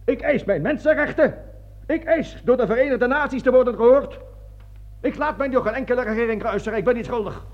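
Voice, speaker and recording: A man's voice: very high-pitched, brisk. A man acting a panicking, cowardly, self-justifying army colonel in a radio drama, blustering, stammering and pleading as he repeats himself. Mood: indignant. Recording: dull lo-fi old radio recording.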